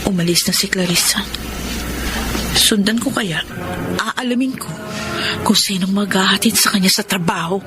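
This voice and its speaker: An actress playing a suspicious young woman who follows someone in secret, talking to herself in a hushed, scheming way, in a radio drama. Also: medium pitch, normal speed, pauses often, natural voice. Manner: hushed, scheming inner monologue. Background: loud music.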